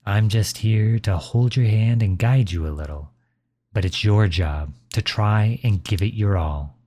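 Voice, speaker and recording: A man acts out a middle-aged dad in an ASMR audio roleplay. His voice sits low and he takes his time with each phrase. The manner is tender and reassuring, that of a caring father comforting his child up close. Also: a little slow. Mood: tender, encouraging. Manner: tender, reassuring, softly chuckling. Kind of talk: ASMR roleplay, intimate acted monologue.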